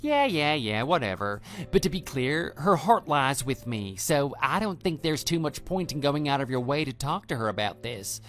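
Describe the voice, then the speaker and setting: Smug, brazen other man for audio drama, male actor: dismissive, condescending, very animated pitch, faint music underneath. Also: normal speed, hardly any pauses. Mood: scornful, dismissive. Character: smug, brazen male lover taunting a betrayed husband. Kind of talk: scripted texting-story radio drama.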